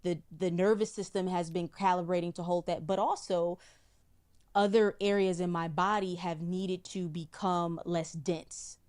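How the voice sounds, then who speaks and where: A middle-aged woman, a psychic guest in an interview, explaining in a measured way and often repeating a word while she finds the next. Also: fairly low pitch, fairly even intonation, a little mellow. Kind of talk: spiritual interview talk.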